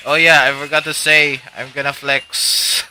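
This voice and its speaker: A man's voice: on the high side, level tone, moderate pace, pushed and bright, a little hiss or hum, poor audio. A young man chatting casually on a live game stream with friends, slangy, rambling and jokey. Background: faint game music.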